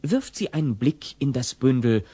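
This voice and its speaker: A man with a husky voice narrating a scripted radio play. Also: mid-range voice, sing-song, moderate pace, noisy background.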